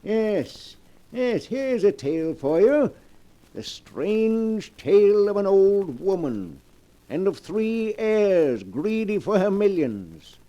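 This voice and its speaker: An actor playing a sinister old keeper of dark tales in a melodramatic, ominous 1940s radio style. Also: male voice, high-pitched, very animated, full character voice. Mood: ominous storytelling. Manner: slow, ominous, relishing, theatrical. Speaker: storyteller host of a radio mystery series. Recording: muffled 1940s radio recording.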